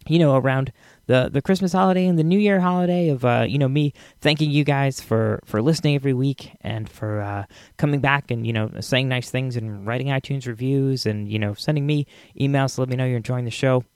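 A man's voice: medium pitch, a little fast, a little mellow, smooth, no echo. A man hosting a podcast, talking casually in a rambling, enthusiastic way. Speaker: podcast host.

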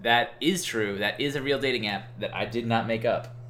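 A man's voice: mid-range voice, moderate pace, a bit bright. A man co-hosting a podcast, playfully explaining a game segment in casual chat. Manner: playful, laughs often, explains rules.

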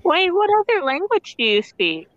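A woman's voice: extremely high pitch, wildly swooping pitch, moderate pace, a bit bright, highs cut off. A teenage girl guest in a casual chat, giggly and reactive.

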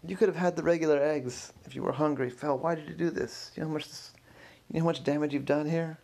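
A man's voice: medium pitch, brisk, gravelly, mellow, natural voice. A man voicing a stern museum curator in a story, insistent, exasperated and scolding. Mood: outraged. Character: stern, indignant museum curator confronting a culprit.

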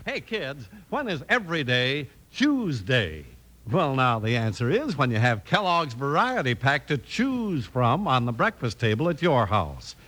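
A man's voice: mid-range voice, huge pitch swings, measured pace, fairly bright tone, slight background noise. A man announcing in a polished, salesman-like 1950s radio style. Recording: vintage radio broadcast recording.

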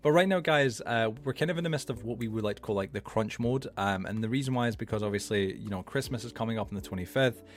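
A man's voice: lowish voice, quick pace, hardly any pauses. A man in his early twenties hosting a casual podcast, rambling with lots of fillers and restarts. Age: 20s. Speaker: podcast host. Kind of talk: casual late-night podcast chat.

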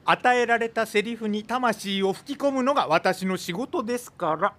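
An actor playing an eager, deferential young underling in a radio drama, with a polite, overearnest manner. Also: male voice, sits high, normal speed, a bit bright. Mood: pompous. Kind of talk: modern radio drama acting.

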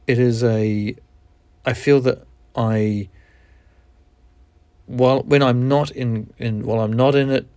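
Gravelly New Zealand man, reflective podcast host. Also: mid-range voice, a little mellow, no room sound. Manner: reflective, halting, with frequent restarts. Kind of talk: candid solo podcast monologue.

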